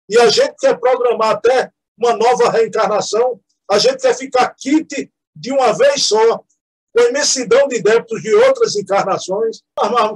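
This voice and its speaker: A man's voice: sits high, husky, rough recording. A man with a Northeastern Brazilian accent, co-hosting a chat and praising articles effusively with frequent tag questions. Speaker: co-host. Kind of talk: casual chat.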